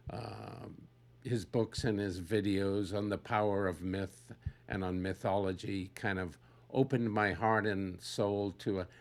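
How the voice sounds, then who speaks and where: An older man giving an unscripted, reflective personal talk in a halting way, searching for words as he goes. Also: lowish voice, creaky.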